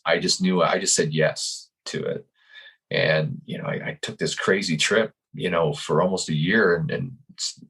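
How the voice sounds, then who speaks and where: A man in his fifties, a life coach, giving calm and earnest interview answers. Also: low-pitched, breathy edge. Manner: calm, earnest, speaks slowly in thought.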